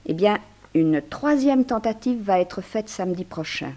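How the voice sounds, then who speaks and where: A woman's voice: medium pitch, expressive, a little slow, dark tone, natural voice. Actress as spirited, articulate lady journalist. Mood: earnest, narrating. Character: poised, curious society woman journalist, spirited and charming. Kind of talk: 1960s French radio drama acting.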